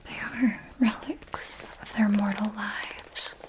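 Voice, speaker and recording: A woman playing an elegant, knowing vampire mentor in a breathy whispered ASMR roleplay, patient, refined and instructive. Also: medium pitch, fairly even intonation, natural voice. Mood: hushed, instructive. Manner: slow, deliberate, refined, instructive.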